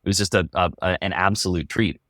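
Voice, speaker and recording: A man's voice: low-pitched, steady tone, quick pace, bright, forceful tone, no room sound, clean studio sound. A man, an actor in a casual interview, who repeats words as he searches for his phrasing.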